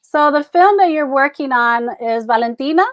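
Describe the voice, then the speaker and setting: Chatty new york lady hosting, gushy and laughy, slightly high voice, dry close sound. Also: expressive, moderate pace, no echo. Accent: toned-down New York. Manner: effusive, laughs often. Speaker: host. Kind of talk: live entertainment interview.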